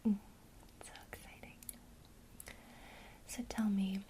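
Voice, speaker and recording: A woman whispering an ASMR witchcraft lesson, gently encouraging a listener she addresses as her student. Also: mid-range voice, slight background noise, poor audio. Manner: speaks to the listener as a student, pausing for imagined replies.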